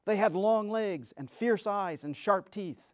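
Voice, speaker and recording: A man with a notably high voice reads a children's story aloud. His delivery is theatrical and expressive, that of a storyteller giving a dramatic reading. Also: a little slow. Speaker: storyteller, reader.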